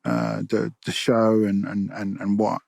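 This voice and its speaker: A man's voice: on the low side, sing-song, measured pace, vocal fry, darkish tone, dry recording, studio quality. A man, a poet and storyteller, speaking as a guest in a casual podcast interview, earnest and often restarting his phrases as he thinks. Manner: earnest, restarts and repeats words while thinking.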